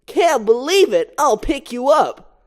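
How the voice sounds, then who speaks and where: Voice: high voice, slow pace, pitched above the performer's own voice, heavily acted. A reader voicing an eager young male bee on his graduation morning. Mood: excited. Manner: bright, excited.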